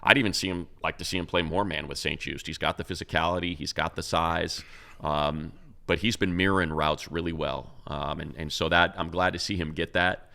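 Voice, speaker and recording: A man's voice: sits low, steady tone, brisk, vocal fry, bright, forceful tone. A man co-hosting a casual sports talk show, talking loosely with many restarts and fillers. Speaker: co-host, radio play-by-play announcer.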